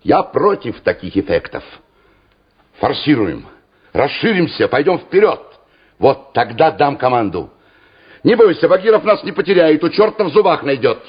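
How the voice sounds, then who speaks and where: Stubborn regiment commander: husky, animated, categorical and exasperated, wartime radio drama, dulled old recording. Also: male voice, fairly high pitch, normal speed, lo-fi sound. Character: stubborn, gruff male Soviet regiment commander. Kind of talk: Soviet radio drama acting.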